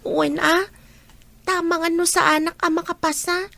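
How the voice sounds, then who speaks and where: A woman's voice: very high-pitched, level tone, moderate pace, gravelly, bright, forceful tone, full character voice. A woman playing a meek young schoolgirl in a radio drama, speaking with an Ilocano accent in a plaintive, childlike way. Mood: worried, subdued. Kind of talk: Filipino radio drama acting.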